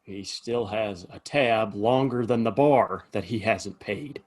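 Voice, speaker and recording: A man's voice: on the low side, slow. A man playing a decent, apologetic saloon bartender in an Old West audio drama, speaking earnestly with small stammers. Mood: resigned, pleading. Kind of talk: Western audio drama acting.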